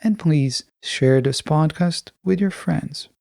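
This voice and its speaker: A man hosting a solo motivational podcast, speaking in a measured, reflective way with deliberate phrasing. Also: fairly high pitch, creaky, darkish tone, no room sound, clean studio sound. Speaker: podcast host.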